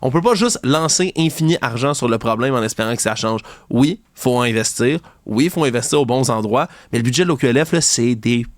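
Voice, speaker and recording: A man's voice: expressive, brisk, few pauses, dry recording, clean studio sound. A man with a Québécois accent hosting a commentary show, ranting with indignation and sarcasm about a news story. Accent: Québécois French. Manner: indignant, ranting, sarcastic, mocks opponents in high voices. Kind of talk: heated opinion commentary.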